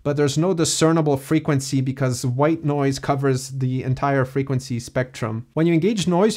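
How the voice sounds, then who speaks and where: A man in his thirties explains software step by step in an informal, methodical tutorial style, recorded on a close mic. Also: on the high side. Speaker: music production tutor. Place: small home studio room.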